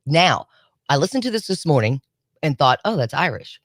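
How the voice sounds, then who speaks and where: Lively female radio co-host, dry sound. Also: deep voice, no echo. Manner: lively, teasing, quick to laugh. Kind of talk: casual morning radio banter. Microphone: studio microphone.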